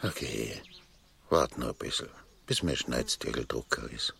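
An actor playing an old, unhurried farmhouse lodger with a gravelly voice and Bavarian accent in a radio play. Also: male voice, very deep voice, a bit bright, heavily acted.

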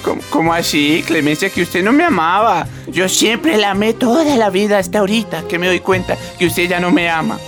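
An actor playing a sentimental old country husband with a Colombian accent in a radio drama. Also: male voice, high voice, expressive, fairly quick, hardly any pauses. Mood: nostalgic, tender. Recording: radio play with loud music underneath.

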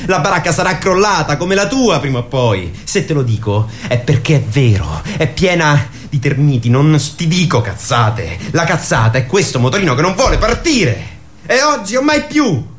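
An eager, high-voiced teenage lad, noisy recording. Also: male voice, very animated, normal speed. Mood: urgent, insistent, then frustrated. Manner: slangy, rambling, swearing. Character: eager, naive eighteen-year-old lad chasing a job. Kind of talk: theatrical radio drama acting.

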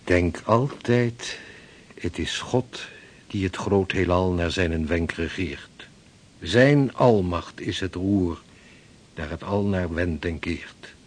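A man's voice: sits low, slow, slightly rough, heavily acted. A man acting in a historical radio play as a devout, determined Arctic explorer, speaking formally and with authority. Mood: solemn, devout. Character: driven, devout 16th-century male Dutch Arctic explorer.

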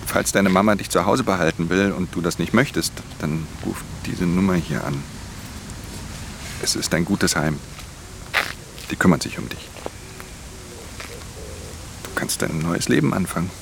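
A man's voice: a little slow, long pauses, background noise. An actor playing a weary, hard-edged thief in a radio drama, speaking in a terse, subdued way as he narrates and talks. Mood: subdued, earnest. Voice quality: very deep, gravelly. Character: weary, hard-edged male thief narrating his own crime story. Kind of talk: radio drama, first-person narration and dialogue.

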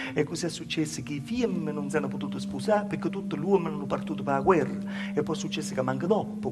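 A man's voice: mid-range voice, lightly acted. A male actor with a Calabrian accent playing a humble peasant woman, telling her village past in a plaintive, folksy, repetitive stage monologue. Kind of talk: theatrical dialect monologue over live music. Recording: loud live music behind the voice. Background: live music.

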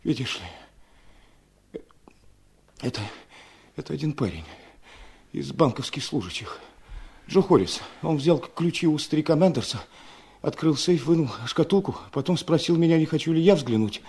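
Увёртливого молодого человека, живые интонации. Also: male voice, a little high, expressive, moderate pace, pauses often, darkish tone, breathy edge. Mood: evasive, nervous. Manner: evasive, deferential. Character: shifty, weak, eager-to-please young man, dutiful son. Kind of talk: Soviet 1970s radio drama acting.

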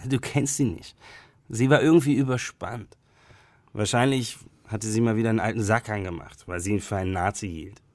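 A man's voice: lowish voice, fairly even intonation, fairly quick, darkish tone, dry recording, lightly acted. An actor playing a reluctant young male student in a radio crime drama, answering tersely and casually. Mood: evasive.

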